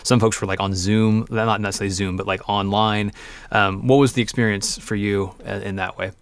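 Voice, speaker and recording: Quick-talking man interviewer, lowish voice. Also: steady tone, clean tone. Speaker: interviewer, voice actor. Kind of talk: casual interview conversation. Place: small treated indoor booth, video call. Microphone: close studio mic on a boom arm.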